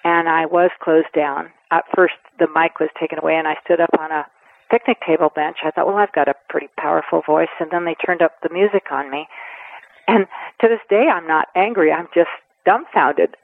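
A woman in her seventies giving a radio interview, talking earnestly and with emphasis, pausing to weigh her words. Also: sits low, fairly even intonation, no room sound. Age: 70s. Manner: earnest and emphatic, with measured pauses. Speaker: conservation advocate guest. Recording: tinny phone line, dry.

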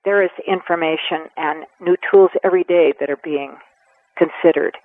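A woman in her seventies giving a radio interview, talking earnestly and with emphasis, pausing to weigh her words. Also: sits low, no echo. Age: 70s. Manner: earnest and emphatic, with measured pauses. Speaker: conservation advocate guest. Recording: tinny phone line, dry.

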